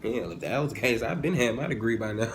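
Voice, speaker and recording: A man in his twenties giving a casual, humorous opinion monologue as a vlogger, animated and informal, often digressing and correcting himself. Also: mid-range voice, brisk. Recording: clearly noisy, ambient background sound.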